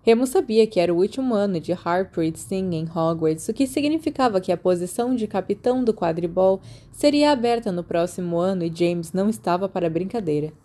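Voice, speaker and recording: A woman's voice: medium pitch, fairly quick, hardly any pauses, mellow. A Brazilian woman reading a story aloud as scripted audiobook narration. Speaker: podfic narrator.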